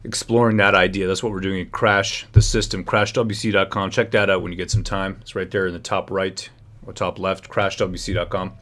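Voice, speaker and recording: A man with a low voice hosts a live commentary stream and presses his points hard to the audience. He sounds close to the mic. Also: little pitch movement, fairly quick, fairly bright tone. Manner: emphatic, talks straight to viewers. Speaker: livestream host. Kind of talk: political commentary livestream.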